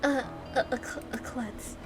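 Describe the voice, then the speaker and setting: A woman's voice: high voice, fairly even intonation, a little slow, over music. A woman voicing a timid, sweet young heroine of an anime visual novel, hesitant and polite. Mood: surprised, hesitant. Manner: hesitant, polite, easily flustered.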